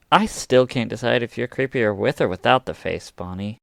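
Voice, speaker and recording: A man playing a weary, regretful young man devoted to his girlfriend in an audio drama, with a gravelly voice. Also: medium pitch, lively intonation, moderate pace, a bit bright, no room sound, studio quality. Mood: wary, wry.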